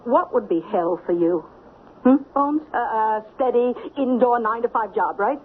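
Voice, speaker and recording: A woman's voice: on the high side, moderate pace, natural voice. An actress playing a smart, bossy streetwise woman with an American accent, giving emphatic, slangy orders in an old-time radio drama. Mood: ominous. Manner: emphatic, slangy, punchy commands. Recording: tinny, noisy 1970s radio broadcast.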